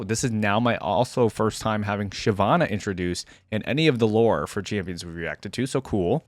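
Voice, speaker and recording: A man's voice: lowish voice, no echo, clean studio sound. A man hosting a reaction video, casually commenting and reading text aloud in an informal way. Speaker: YouTube reaction host.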